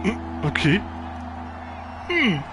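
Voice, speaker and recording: A man from Germany chats loosely with his viewers while he plays, rambling from one thought to the next. His voice sits a little high for a man. Game music plays clearly behind him. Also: wildly swooping pitch, very slow, crowd noise. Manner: chatty, rambling, answers viewers by name. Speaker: game streamer. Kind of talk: casual live-stream chat.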